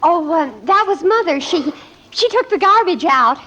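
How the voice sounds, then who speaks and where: A woman's voice: very high voice, animated, moderate pace, pushed and bright, slight background noise, full character voice. A woman acting a bubbly, scheming teenage daughter in an old-time radio family comedy, with an over-innocent, coaxing manner. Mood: evasive. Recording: old radio broadcast, music and audience.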